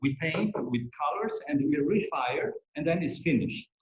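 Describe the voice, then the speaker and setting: A man, a terracotta maker, speaking in careful halting English while explaining his work. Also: on the high side, expressive. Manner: halting, repeats words while searching. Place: his studio. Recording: echoey dull audio from far.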